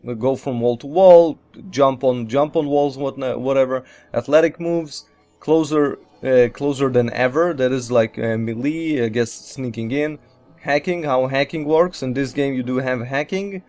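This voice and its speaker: A man with an average-pitched voice hosts a casual video game playthrough. He talks in a loose, rambling way, trailing through lists and padding them with repeated filler phrases. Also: a little mellow. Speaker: host of a gaming Let's Play series.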